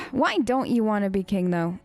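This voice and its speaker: A woman's voice: medium pitch, lively intonation, moderate pace, darkish tone, faint music behind. A woman let's-player improvising casual role-play replies in a chatty, rambling, playful way.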